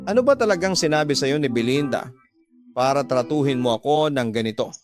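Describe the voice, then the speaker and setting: A man performs a betrayed husband in a radio drama, wounded and earnest. He pleads his side with aggrieved emphasis at a middling pitch, with music playing underneath. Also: animated, fairly quick, close to an everyday voice. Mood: demanding, suspicious. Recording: music bed behind the voice.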